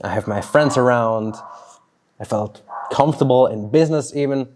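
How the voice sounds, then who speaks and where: A Swiss man in his thirties, a fitness coach whose first language is Swiss German, talking slowly and thoughtfully outdoors in a personal vlog, with pauses and restarts. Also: medium pitch, darkish tone, a little hiss or hum. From Switzerland. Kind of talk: reflective solo vlog monologue. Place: outdoors, birds and dogs nearby.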